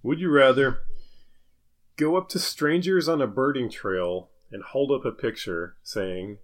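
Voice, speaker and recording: A man's voice: mid-range voice, measured pace. A man co-hosting a podcast in casual, jokey banter. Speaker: podcast co-host.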